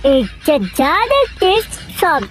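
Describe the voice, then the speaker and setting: A man's voice: very high voice, sing-song, slow, full character voice. A man voicing a boastful, foul-mouthed drunk in a comic cartoon, with slurred, rambling speech. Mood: drunken swagger. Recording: music behind the cartoon voice.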